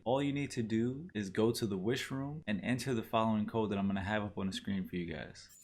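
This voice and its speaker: Man narrating a game guide, some noise. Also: mid-range voice. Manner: plain, instructional. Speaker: gaming video creator and narrator. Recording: noticeable background noise and ambience.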